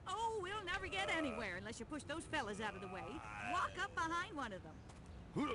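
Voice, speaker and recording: Very high, chirpy robot tour guide. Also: female voice, sing-song, fairly quick, very noisy. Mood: encouraging. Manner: sing-song, perky, scripted hospitality patter. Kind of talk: video game character acting. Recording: loud game music underneath.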